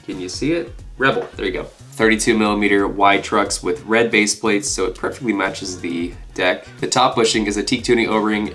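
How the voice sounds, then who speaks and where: A man in his twenties talks casually to camera in a somewhat low voice, stuffed up from a cold. He sounds like a video creator showing off a collection, with guitar music playing behind him. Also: fairly bright tone. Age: 20s. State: congested with a cold. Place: small furnished room indoors.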